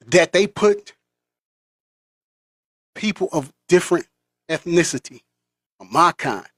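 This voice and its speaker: Male vlogger from the US, impassioned sermon-like rant, higher-pitched voice, dry close recording. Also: fairly bright tone, no echo. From United States. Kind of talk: monologue.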